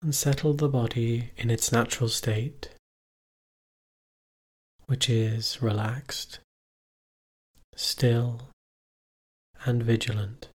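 A man with a slightly husky voice guides a meditation at a very slow pace. His pitch stays even and mid-range, and he leaves long pauses between short, calm instructions. He sounds measured, like a teacher leading practice.

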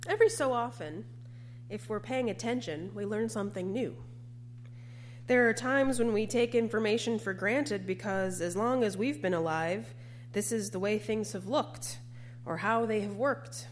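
A woman's voice: medium pitch, normal speed. A woman preaching a sermon to a congregation. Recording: faint background noise and hum.

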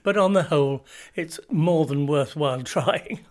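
A man's voice: fairly high pitch, measured pace. A man, an actor, talking reflectively in an interview, considered and articulate.

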